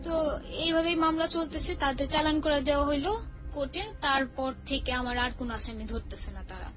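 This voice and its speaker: A woman's voice: sits high, fairly quick, fairly bright tone, faint music behind. A woman with a Bangladeshi accent telling a personal story as a guest, recounting it through quoted dialogue.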